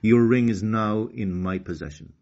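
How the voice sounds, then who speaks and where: A man's voice: fairly low pitch, normal speed, darkish tone, dull audio, natural voice. A man voicing a haughty, commanding crocodile queen in a children's storybook read-aloud. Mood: imperious, angry. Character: imperious crocodile queen ruling an underwater world.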